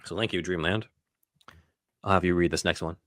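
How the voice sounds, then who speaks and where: Low-voiced male podcast host. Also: fairly even intonation, brisk, fairly bright tone, no room sound, clean studio sound. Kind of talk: casual podcast talk.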